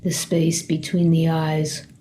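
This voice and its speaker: Woman meditation guide: soft-edged dark tone, even delivery, gentle and unhurried, over some background noise. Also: deep voice. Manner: gentle, unhurried, with long pauses between short phrases. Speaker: meditation teacher. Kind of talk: guided body scan meditation.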